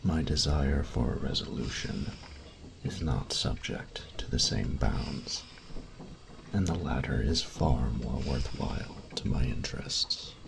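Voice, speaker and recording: Man playing a very deep, gravelly grumpy artificer. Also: background noise, exaggerated voice. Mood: curt, formal. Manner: formal, precise, pedantic, halting delivery. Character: grumpy, fastidious male artificer-mage in a steampunk world. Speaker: voice actor in an audio roleplay. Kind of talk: scripted roleplay audio drama, addressing the listener.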